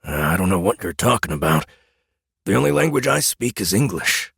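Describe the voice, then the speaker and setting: A man playing a gruff, wisecracking old man in a fantasy audiobook, with a folksy, grumbling, irreverent way of talking. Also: low voice, very animated, normal speed, a bit bright, dry recording, studio quality, heavily acted. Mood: baffled. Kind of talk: fantasy audiobook character acting.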